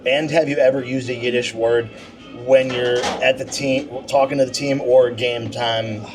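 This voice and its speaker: Man, co-host, joking, quick questions, distant mic, faint music. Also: mid-range voice, fairly even intonation. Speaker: podcast co-host. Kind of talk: casual interview chat. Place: indoor deli at a table.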